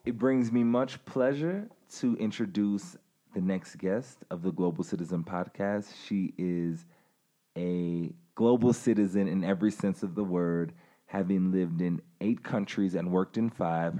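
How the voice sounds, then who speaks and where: A man's voice: deep voice, dark tone. A man co-hosting a podcast, introducing the guest in a formal, announcer-like way and asking her questions. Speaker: podcast co-host.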